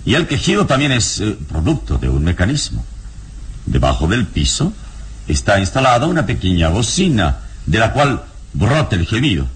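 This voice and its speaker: A man playing a calm, wise, courteous adventurer hero in a radio serial, speaking in a composed, measured way. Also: lowish voice, slightly rough, heavily acted. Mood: calmly explaining. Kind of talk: Spanish-language radio serial acting.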